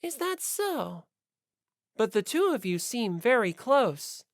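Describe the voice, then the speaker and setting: A woman voicing an aloof, skeptical teenage girl in an audiobook, with a deliberate, probing and detached delivery. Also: on the high side, very animated, dry recording, clean studio sound, pitched above the performer's own voice, full character voice. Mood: cool suspicion.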